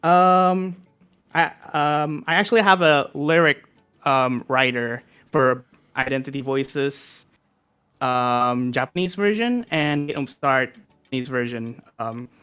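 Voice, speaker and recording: A man's voice: medium pitch, slow pace, fairly bright tone, smooth. A young man from Indonesia speaking English with an Indonesian accent, a music composer answering interview questions casually and hesitantly, with many ums and self-corrections.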